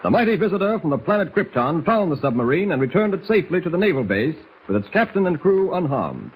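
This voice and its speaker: Animated male 1940s radio serial narrator. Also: fairly high pitch, fast, husky. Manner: suspenseful, theatrical. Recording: muffled, slightly noisy 1940s radio recording.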